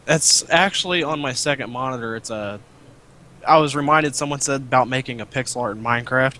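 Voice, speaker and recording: A man's voice: mid-range voice, fairly quick, sharp tone. A man talking casually as a guest on a gaming live stream, explaining things in a plain, matter-of-fact way. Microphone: cheap headset.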